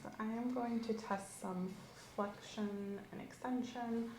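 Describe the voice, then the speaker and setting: A woman hosting an ASMR physical exam roleplay, giving calm, step-by-step instructions in a soft voice. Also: fairly high pitch, takes it slowly. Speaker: ASMR host performing an exam. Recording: roomy with faint hiss.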